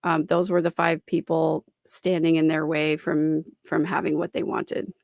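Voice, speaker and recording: A woman's voice: low voice, level tone, a little slow, vocal fry, a little mellow, no echo, clean studio sound. A woman commentating on a livestream, explaining and repeating phrases for emphasis. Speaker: host and commentator. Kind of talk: live-stream commentary analysing a recording.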